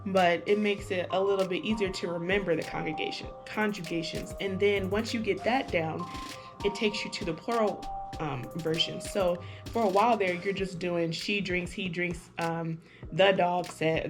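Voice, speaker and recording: A woman vlogger reviewing an app in casual talk to camera, chatty and hesitant, with lots of fillers and restarts. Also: on the low side. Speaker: language-learning vlogger. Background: music.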